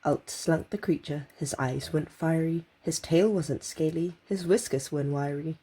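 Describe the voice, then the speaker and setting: Lady reading kids rhyming story, low mellow voice, bit husky. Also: a little slow. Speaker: storybook reader. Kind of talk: rhythmic children's story narration.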